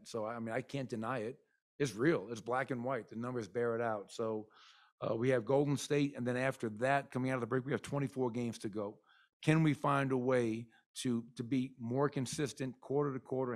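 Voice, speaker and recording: A middle-aged man, a basketball coach, talking candidly at a postgame press conference into a desk mic, rambling with many fillers. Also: medium pitch. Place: arena press room. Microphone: desk mic in front.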